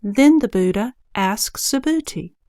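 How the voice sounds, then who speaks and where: A woman's voice: medium pitch, lively intonation, measured pace, mellow, no echo, studio quality. A woman narrating a scripted sutra audio drama.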